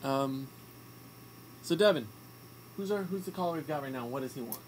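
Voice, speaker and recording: Animated, bossy comedy radio host. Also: mid-range voice, normal speed, a little hiss or hum, low-quality recording. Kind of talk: improvised comedic radio banter.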